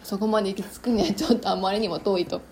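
A woman's voice: mid-range voice, steady tone, very fast, very noisy. A woman chatting casually and telling personal anecdotes in a self-deprecating way, as a co-host of a show. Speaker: amateur drama group member co-hosting an off-talk show.

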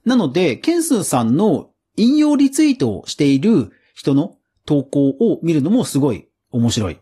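A man's voice: fairly high pitch, huge pitch swings, moderate pace, warm tone, breathy edge. A man hosting a podcast, giving casual, explanatory solo commentary on news in a conversational way. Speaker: podcast host.

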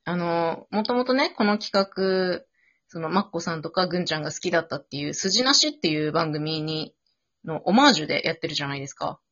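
Low-pitched woman with a bright, forceful tone. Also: animated, a little fast. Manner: mixes polite and informal speech. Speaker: improv drama performer on an after-talk. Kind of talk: casual post-show chat. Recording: dull lo-fi audio.

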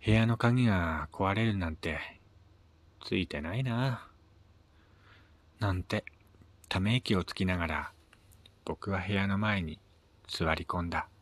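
A man narrating a first-person short story in a measured, dramatic reading with pauses. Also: sits low. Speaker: amateur reader of his own short stories.

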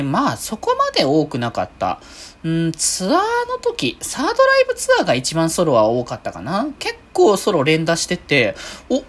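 A woman hosting a casual solo podcast, rambling along and often correcting herself as she goes. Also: sits low. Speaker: podcast host. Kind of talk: casual solo free talk.

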